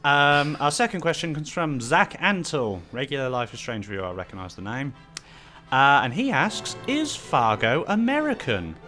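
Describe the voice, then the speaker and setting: A man's voice: mid-range voice, sing-song, normal speed, bright, forceful tone, soft background music. A man hosting a casual Q&A with friends, reading out viewer questions in a dry, teasing way.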